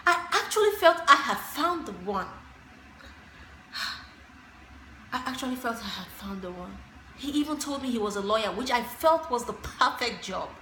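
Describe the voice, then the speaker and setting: A woman's voice: on the high side, expressive, fairly bright tone. A woman acting an exasperated, dramatic single woman in a monologue, confiding in a girlfriend with theatrical, emphatic delivery. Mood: dreamy, hopeful. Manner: theatrical, emphatic, drawn-out stressed words. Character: exasperated, dramatic single woman venting about a disastrous date. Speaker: actress performing a monologue. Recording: roomy echo, faint background noise.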